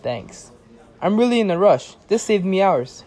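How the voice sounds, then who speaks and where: A woman's voice: medium pitch, sing-song, a little slow, mellow. A woman playing a pompous, curt, absurdly entitled customer in an amateur radio play. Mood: haughty. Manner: curt, haughty.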